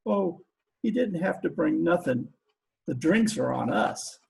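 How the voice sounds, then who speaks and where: Man playing gravelly, lovestruck gangster hitman. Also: on the high side, lively intonation, unhurried, full character voice, rough recording. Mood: eager, murderous. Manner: slangy, uneducated tough-guy talk. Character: lovestruck, dim, trigger-happy male gangster hitman. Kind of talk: 1940s radio comedy acting. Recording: home video-call recording.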